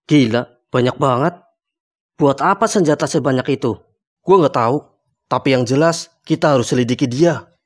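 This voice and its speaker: A man voicing a suspicious, curious young man in an animated horror comedy, talking in casual slang. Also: medium pitch, lightly acted.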